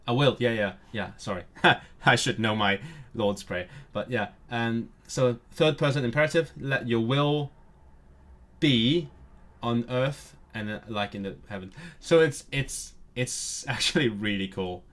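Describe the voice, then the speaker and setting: A male streamer whose first language is Cantonese talks casually to his viewers. His voice sits at an ordinary middle pitch. He sounds eager and nerdy, and he often trips over words and starts them again. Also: measured pace, fairly bright tone. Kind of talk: casual live-stream chat.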